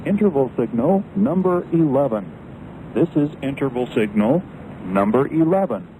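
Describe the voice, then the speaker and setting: Man announcer through clear shortwave static. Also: mid-range voice, animated, moderate pace, mellow. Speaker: radio contest announcer. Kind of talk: scripted announcement. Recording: noisy 1980s shortwave radio.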